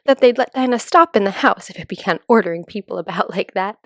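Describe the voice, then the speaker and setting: A woman's voice: mid-range voice, fast, a bit bright, no room sound, studio quality, close to an everyday voice. A woman voicing a curious, prim, dreamy little girl in a children's storybook, musing aloud to herself.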